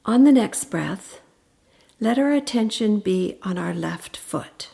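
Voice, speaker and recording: A woman narrating a guided meditation in a gentle, soothing, instructive manner with pauses, her voice turning breathy at the ends of phrases. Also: mid-range voice, expressive.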